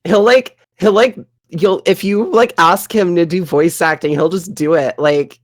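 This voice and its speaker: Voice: medium pitch, a little slow. A podcast panelist chatting casually in a joking, wry manner. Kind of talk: casual group podcast chat.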